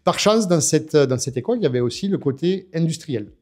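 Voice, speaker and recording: A man's voice: on the high side, animated, a little fast. A man in his fifties from France, telling his story as a guest in a casual interview, with many hesitations and restarts. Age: 50s.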